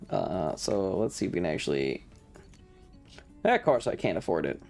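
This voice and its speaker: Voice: deep voice, big pitch swings, moderate pace, gravelly, a little mellow, faint music behind. A streamer giving casual live commentary on a video game in an irreverent, sweary, rambling way.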